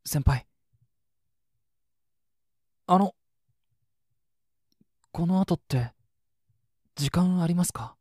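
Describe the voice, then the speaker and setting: Man playing a soft, hesitant younger junior guy. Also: sits high, dry recording, studio quality, full character voice. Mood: shy, nervous. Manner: soft, halting, polite. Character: shy, hesitant younger male junior colleague, gentle. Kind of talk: Japanese situation voice acting.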